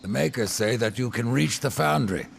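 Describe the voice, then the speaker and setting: An actor playing a grim, sardonic rider of death in a fantasy game, speaking tersely and dryly in a deep, gravelly voice. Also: male voice, normal speed, a little hiss or hum. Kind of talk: fantasy video game acting. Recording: loud music behind the voice.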